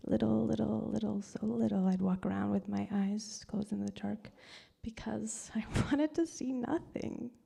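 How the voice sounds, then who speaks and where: Gravelly woman performing a poem aloud. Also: mid-range voice, a little slow, few pauses, dark tone. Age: 30s. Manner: dramatic, shifting from whispers to screams; mixes English and Spanish. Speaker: poet reading at a live event. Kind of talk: performance poetry reading.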